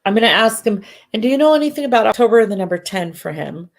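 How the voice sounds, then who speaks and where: A woman doing live psychic readings who sounds congested and talks in halting bursts, often restarting and correcting herself. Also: a little high, lively intonation. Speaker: psychic medium. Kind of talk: live-stream readings, casual chat.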